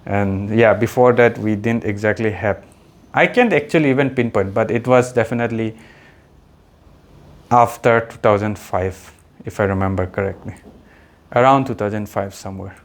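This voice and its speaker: A man's voice: lowish voice, fairly even intonation, normal speed. A man in his thirties from India, a native Adi speaker, talking in a rambling, reflective vlog monologue full of fillers and restarts. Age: 30s. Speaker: vlogger.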